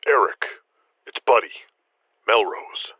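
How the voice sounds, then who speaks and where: A man's voice: medium pitch, slow pace, husky, no echo. An actor playing a friendly, encouraging male wrestler and stuntman, speaking earnestly and reassuringly on the telephone. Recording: dull, muffled phone-call sound.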